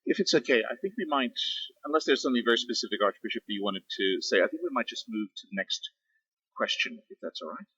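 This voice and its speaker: A man's voice: mid-range voice, expressive, fast talker, a bit bright, slightly rough, dry recording, dull audio. A man moderating a formal debate in a polite, deferential manner.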